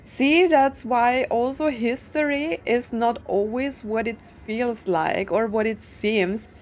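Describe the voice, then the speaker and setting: A woman's voice: fairly high pitch, takes it slowly, darkish tone, a little hiss or hum. A woman giving casual gameplay commentary, musing aloud in a rambling way. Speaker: video game streamer.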